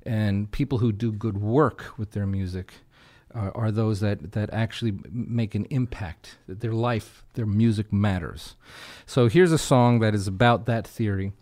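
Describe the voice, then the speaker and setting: A man's voice: fairly low pitch, moderate pace, few pauses, a little mellow. A man speaking as a guest folk musician in a casual interview, explaining things earnestly. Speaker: guest folk singer-songwriter and broadcaster.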